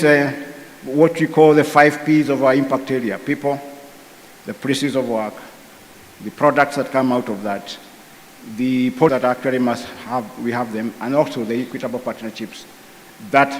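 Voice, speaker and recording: Guy giving a panel talk in a big hall, slow and pausey, mid pitch, long echo tail. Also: a little hiss or hum. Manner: measured, halting with frequent pauses. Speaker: panel speaker. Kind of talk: conference speech. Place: conference hall.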